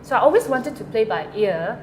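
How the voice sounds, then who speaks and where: A woman's voice: a little high, very animated, a little fast, darkish tone, roomy sound, a little hiss or hum, rough recording. A woman, a songwriter answering interview questions hesitantly, with many fillers and restarts. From Malaysia. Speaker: guest songwriter.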